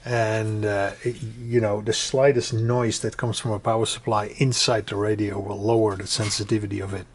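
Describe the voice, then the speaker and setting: A man giving a casual, unscripted hobby tutorial, conversational and hesitant, often pausing mid-sentence. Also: fairly low pitch, flat delivery, husky. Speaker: hobbyist demonstrating a build. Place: indoors at home.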